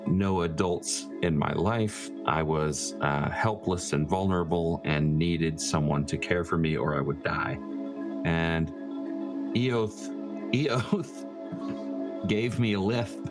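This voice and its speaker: A man playing a tabletop roleplaying game, speaking informally and haltingly as he improvises. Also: deep voice, over music.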